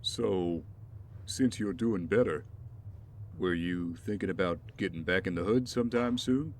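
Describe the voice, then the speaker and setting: A man playing a steady, supportive ex-soldier sidekick in an audio drama, conversational and encouraging. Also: deep voice, unhurried. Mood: concerned encouragement. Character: steady, supportive ex-soldier, male partner of a vigilante. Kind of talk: scripted audio drama acting. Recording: background noise with ambience.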